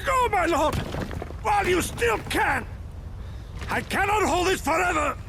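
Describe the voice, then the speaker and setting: A man's voice: very high-pitched, expressive, unhurried, vocal fry, pushed and bright, shouting, a little hiss or hum, full character voice. An actor playing a loyal warrior in a video game, straining hard as he protects his lord. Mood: desperate, urgent. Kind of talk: dramatic video game battle acting.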